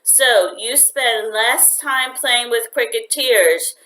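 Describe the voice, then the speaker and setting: A woman's voice: a little high, slow pace, bright, forceful tone, voice pushed up, poor audio. A woman reading a storybook, voicing a stern, dismissive mother with a dry, scolding manner.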